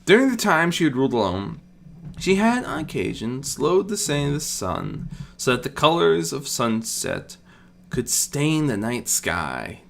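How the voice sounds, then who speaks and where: A storyteller narrates scripted fantasy prose. The delivery is expressive, with pitch that rises and dips a great deal and pauses placed for drama. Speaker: audiobook narrator.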